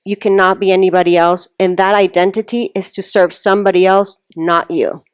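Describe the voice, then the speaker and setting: A woman's voice: mid-range voice, steady tone, a little fast, clean tone, no room sound, clean studio sound. A middle-aged woman from Mexico answering a question as a panelist, earnest and reflective. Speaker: panelist sharing her story. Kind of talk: heartfelt public speaking.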